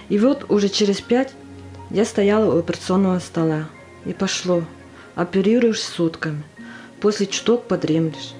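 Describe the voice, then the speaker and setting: A woman's voice: lowish voice, mellow. A woman playing a weary but determined wartime surgeon, recalling the war in a confiding monologue for an amateur audio drama.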